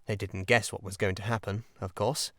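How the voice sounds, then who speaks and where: A man voicing an earnest, excitable water rat in a storybook, speaking in an emphatic and deliberate manner. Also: on the low side, no room sound, clean studio sound, lightly acted. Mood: grave, confiding. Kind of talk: audiobook character acting.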